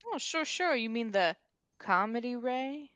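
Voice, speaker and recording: A woman's voice: on the high side, expressive, measured pace, fairly bright tone, clear, resonant tone. A woman playing a breezy, gossipy know-it-all informant in a comedy table read.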